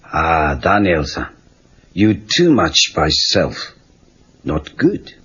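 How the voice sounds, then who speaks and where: A man's voice: very deep voice, lively intonation, drawn-out words, a bit bright, breathy edge, exaggerated voice. An actor playing a wise, terse old Okinawan handyman with a Japanese accent and clipped broken English in an audio story. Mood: friendly, probing. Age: older. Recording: dull cassette tape with music.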